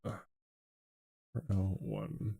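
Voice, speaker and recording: A man's voice: deep voice, vocal fry, husky, no echo. A young man streaming a game who chats casually with his audience, often chuckling and stopping to restart his sentences. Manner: chuckling, halting and relaxed.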